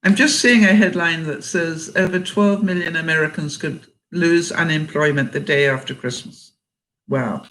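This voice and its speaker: An older woman with a Canadian accent and a hoarse, fading voice reads jokes aloud in a casual vlog and laughs often at them. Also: sits low, normal speed, a bit bright, rough recording. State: hoarse, losing her voice. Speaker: vlogger.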